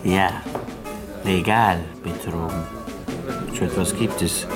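An actor in a German radio play as a self-pitying, down-and-out failed student, rambling and resigned. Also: male voice, deep voice, fairly even intonation, slow, over music. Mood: bitter, self-pitying.

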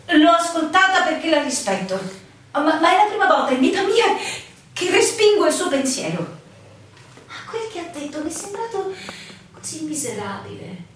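An actress playing an anguished, suspicious young barmaid wounded in love, in a theatrical radio drama manner with dramatic pauses. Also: female voice, very high voice, big pitch swings. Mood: tearful, pleading. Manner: emotional, dramatic pauses, swinging intensity. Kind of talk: theatrical stage-style radio drama acting. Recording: very echoey room, distant mic, faint noise.

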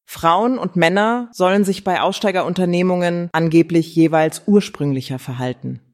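Male actor as nagging domestic partner, high-pitched, dry manner, satirical radio play. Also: moderate pace.

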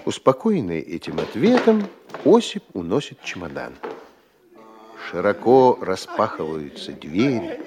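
Lowish-voiced, expressive male narrator. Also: measured pace, slight background noise. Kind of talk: scripted audio-play narration.

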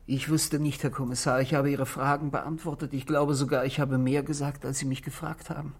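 A man playing a bitter but controlled husband in a 1960s German radio drama, speaking in formal, deliberate phrases. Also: mid-range voice, flat delivery, husky. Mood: formal, restrained. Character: controlled, bitter married man confessing to police.

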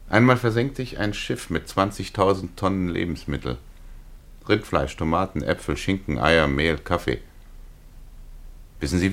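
An actor playing a reflective, embittered burglar and war veteran in a 1950s radio play, soft-spoken, ironic and philosophising. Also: male voice, deep voice.

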